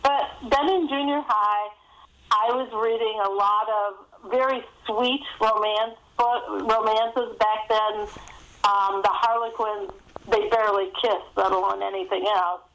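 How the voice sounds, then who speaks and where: A woman's voice: mid-range voice, fairly even intonation, unhurried, a bit bright, poor audio. A middle-aged or older woman, an author guest telling stories casually in an interview.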